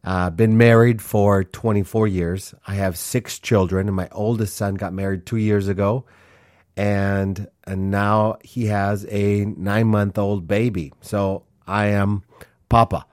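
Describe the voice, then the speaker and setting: A man's voice: lowish voice, fairly even intonation, measured pace, a little mellow, no echo, studio quality. Earnest man in his forties hosting. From Honduras, raised in Minnesota. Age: 40s. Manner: earnest, rambling, many fillers and restarts. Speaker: podcast host. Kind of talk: casual solo podcast monologue.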